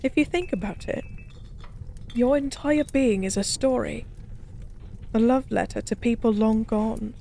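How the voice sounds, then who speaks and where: A woman playing a forgotten goddess as a tipsy pub stranger in an intimate audio roleplay, speaking softly in slow, wistful philosophical rambles. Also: on the high side, vocal fry, a little hiss or hum. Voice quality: soft. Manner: slow, musing, rambling, teasingly cryptic.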